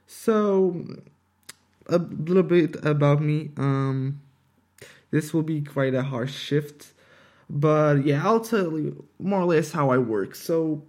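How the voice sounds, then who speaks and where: Hesitant young man with a Portuguese accent, slow-paced. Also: on the high side, a little mellow. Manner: hesitant, halting, searching for words. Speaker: podcast host. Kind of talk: unscripted personal podcast monologue.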